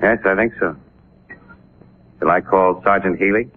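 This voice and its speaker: An actor playing a sharp, persistent newspaper photographer sleuth in a 1940s radio drama, talking in a casual, clipped, probing way. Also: male voice, low voice, a little slow, breathy edge. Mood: accusing. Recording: muffled, noisy 1940s radio recording.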